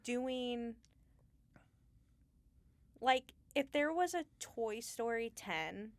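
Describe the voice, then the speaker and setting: A woman's voice: a little high, expressive, extremely slow, no echo. A woman talking casually and informally as the guest in an interview about her craft. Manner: giggly, informal. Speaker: Foley artist, interview guest.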